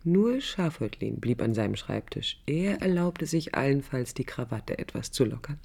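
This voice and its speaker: A woman's voice: a bass voice, very animated, normal speed, creaky, darkish tone, slightly rough, full character voice. An actress playing a passionate, searching young woman in a radio play, reflective and intimate, sometimes close to a whisper. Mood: musing. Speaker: radio drama actress, narrator and lead. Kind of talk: 1980s German radio play acting, intimate monologue. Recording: music under a studio radio recording.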